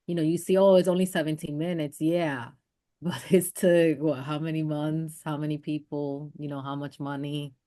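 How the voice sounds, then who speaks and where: A middle-aged woman, an actress, chatting casually over a video call and acting out what others said. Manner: animated, acts out quoted people with big jumps in pitch. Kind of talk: casual conversation.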